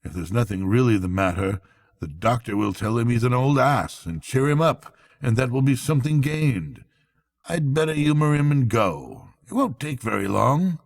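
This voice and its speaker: Man acting a hearty, higher-voiced water rat. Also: animated, gravelly. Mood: anxious, reflective. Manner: brisk, exclamatory, blunt but fond. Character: hearty, brisk, kindly male water rat, earnest and anxious.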